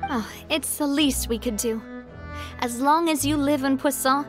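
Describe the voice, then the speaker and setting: A caring young leader in a video game, with a high voice. She sounds responsible and protective, with faint music underneath. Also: a little slow. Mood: warm, reassuring.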